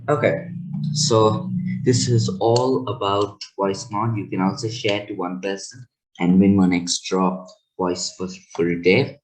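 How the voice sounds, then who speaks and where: Male tech host, deep voice, casual rambling how-to delivery. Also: level tone, unhurried, darkish tone, slight background noise, low-quality recording. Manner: loose, rambling explanation with self-corrections. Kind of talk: casual screen tutorial.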